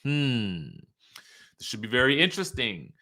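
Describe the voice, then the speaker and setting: Guy with a bright crisp-edged voice chatting through a tv trailer, emphatic and opinionated, like a youtube host. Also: medium pitch, big pitch swings, a little slow. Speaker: host of a reality TV commentary show. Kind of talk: casual commentary on a trailer, partly reading cast bios.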